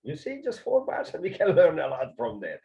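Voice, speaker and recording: A man's voice: a little high, huge pitch swings, a little fast, creaky, warm tone. A man with a Hungarian accent explaining conducting in a video lesson, hesitant, with many filler sounds and restarts. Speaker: conducting teacher. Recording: dull lo-fi sound.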